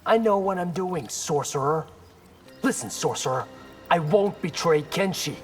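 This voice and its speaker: Young game warrior, bit high voice, music behind him, defiant and dry. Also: male voice, lively intonation, measured pace. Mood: firm, defiant. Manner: terse, wry, defiant. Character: cocky, determined young male warrior. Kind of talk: video game hero acting.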